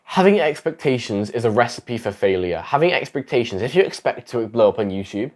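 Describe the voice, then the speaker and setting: A man's voice: mid-range voice, very animated, a little fast, a little mellow. A young man talks straight to camera, offering advice to fellow creators. He is sick and his voice is going, and he rambles and repeats himself, restarting sentences as he goes. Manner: rambling, self-correcting, repeats phrases. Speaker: YouTuber giving advice. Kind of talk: casual talking-head advice vlog.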